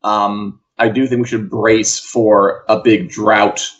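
A man's voice: lowish voice, flat delivery, slow pace, muffled recording. A man co-hosts an entertainment news show, chatting casually with a wry, conversational manner.

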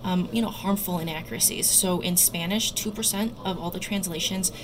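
A woman doctor and researcher giving careful, somewhat halting explanatory answers as an interview guest. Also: fairly low pitch, steady tone. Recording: loud background noise.

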